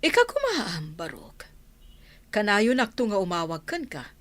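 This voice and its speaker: A woman's voice: medium pitch, huge pitch swings, measured pace. An actress playing a persuasive, doting middle-aged mother in a radio drama. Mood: gently instructing. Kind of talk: Ilocano radio drama acting.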